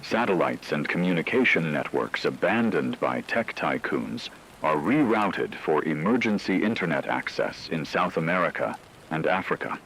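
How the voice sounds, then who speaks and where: A man playing a formal, measured radio news anchor reading scripted reports in a solemn manner. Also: a bass voice, sing-song, fairly bright tone, a little hiss or hum, full character voice, rough recording. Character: grave male radio news anchor in a dystopian broadcast.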